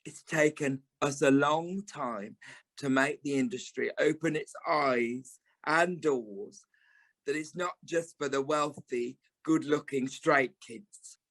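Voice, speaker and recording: Slow, wistful British man played by an actor. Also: on the high side, fairly bright tone, exaggerated voice, low-quality recording. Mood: earnest, consoling. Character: sentimental, camp older male performer, wry and fond. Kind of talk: audio play acting.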